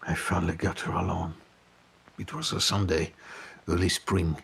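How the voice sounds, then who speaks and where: A man's voice: low voice, flat delivery, normal speed, vocal fry, fairly bright tone, breathy edge, heavily acted. A man playing a wealthy, pompous French film producer, lovesick and vengeful, telling his story in florid, melodramatic audio drama. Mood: smitten, grandiose. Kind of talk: dramatic first-person storytelling in audio fiction.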